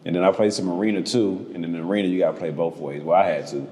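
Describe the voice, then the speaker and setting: A man's voice: sits low, steady tone, very fast, warm tone. A young man from Georgia, a painter guest in a casual interview, talking laid-back with lots of slang and swearing. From Georgia, United States.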